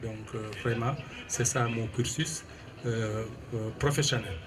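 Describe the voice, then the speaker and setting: A Senegalese man in his sixties giving a formal press statement, measured and hesitant with many fillers. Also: mid-range voice, fairly even intonation, background noise. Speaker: retired civil administrator and local politician. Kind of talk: press statement answering an interviewer.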